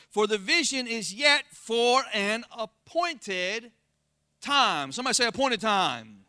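A pastor with a Southern Florida accent preaching a sermon, folksy and calling out to the congregation for responses. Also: male voice, very high-pitched, sharp tone, clear, resonant tone, no echo, clean studio sound. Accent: Floridian Southern American. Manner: call-and-response, folksy, rising to emphatic exclamations.

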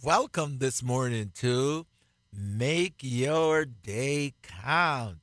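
Slow, emphatic Jamaican pastor. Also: male voice, medium pitch, lively intonation, fairly bright tone, no room sound, clean studio sound, faint music behind. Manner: slow, emphatic, repeats key phrases. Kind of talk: radio sermon, co-hosted Bible teaching.

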